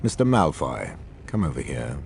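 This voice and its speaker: Very deep, sneering gravelly professor. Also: male voice, huge pitch swings, normal speed, slight background noise, heavily acted. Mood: cold disdain. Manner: disdainful, drawling. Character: cold sneering male potions professor, middle-aged. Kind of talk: video game character acting. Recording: loud music and crowd behind.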